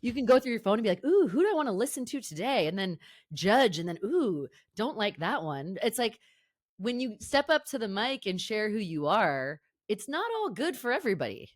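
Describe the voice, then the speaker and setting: A woman's voice: medium pitch, big pitch swings, brisk. A woman hosting a podcast interview, talking casually with many fillers like "like" and "you know". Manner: chatty, warm filler-heavy, lots of "like". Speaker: podcast host.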